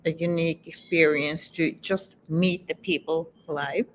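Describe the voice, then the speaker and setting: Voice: mid-range voice, steady tone, a little slow, a little hiss or hum, rough recording. A guest whose first language is Finnish, answering interview questions in English thoughtfully and fairly fluently. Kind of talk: conversational interview.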